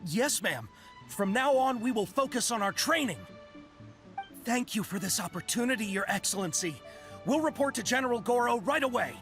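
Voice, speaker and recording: A man's voice: very high-pitched, expressive, moderate pace, fairly bright tone. A man voicing an earnest, loyal young male soldier who speaks deferentially to his commander. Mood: grateful. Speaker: game character. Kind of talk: video game voice acting. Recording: game audio with faint music.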